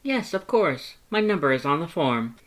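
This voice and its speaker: Casting: an earnest, warm-hearted male skeleton for an audiobook, pitched well up, loud and theatrically emphatic. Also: big pitch swings, normal speed. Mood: eager, friendly. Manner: emphatic, exclamatory, theatrical delivery. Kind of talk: character voice in fan fiction audiobook.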